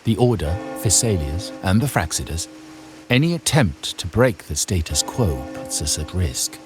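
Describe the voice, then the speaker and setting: A man's voice: deep voice, big pitch swings. An actor playing a courtly, scheming middle-aged nobleman, speaking formally and pompously in a video game. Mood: grave, guarded. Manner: formal, pompous, deliberate diction. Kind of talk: dramatic video game dialogue. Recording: music faintly behind the voice.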